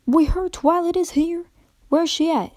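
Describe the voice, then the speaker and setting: A woman's voice: very high voice, a little slow, mellow, pitched above the performer's own voice. A woman voicing a blunt, tough orange female earth pony with a brusque way of talking who cuts others off.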